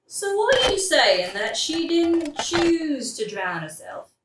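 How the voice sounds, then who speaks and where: A woman's voice: sits high, very animated, takes it slowly, recorded from a distance, full character voice. A woman playing a weary, skeptical, drunken gravedigger sidekick, blunt and questioning, in a comic audio drama. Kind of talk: modern-language comic audio drama acting. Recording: echoey, noisy, off-mic.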